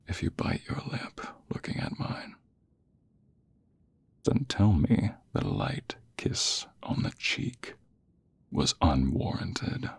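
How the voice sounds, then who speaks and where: A man playing a smug, seductive office rival in an intimate ASMR roleplay, with a husky, breathy half-whisper and slow, teasing, flirtatious delivery. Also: low-pitched, exaggerated voice. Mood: seductive, challenging.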